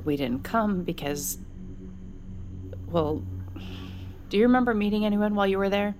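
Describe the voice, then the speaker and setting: A woman acting an anxious, protective older sister in a fiction podcast, earnest and halting when emotional. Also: mid-range voice, expressive, darkish tone, soft background music, natural voice. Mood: grave, confessional.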